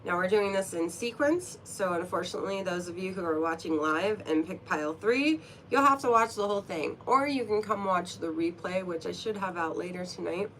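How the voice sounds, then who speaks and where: A woman's voice: fairly low pitch, brisk, hardly any pauses, a bit bright, slight background noise, low-quality recording. A woman with a cold, sniffling, who chats casually and playfully with her stream viewers from her room at home before a card reading, giggling at her own jokes. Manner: chatty, playful, giggly asides. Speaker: tarot reader and streamer. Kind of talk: casual livestream chat.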